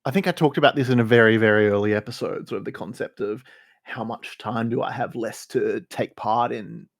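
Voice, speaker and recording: A man's voice: lowish voice, a little fast, vocal fry, no echo, studio quality. A man with an Australian accent co-hosting a podcast, talking casually with wry jokes. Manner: wry, joking. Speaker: podcast co-host. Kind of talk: casual chat.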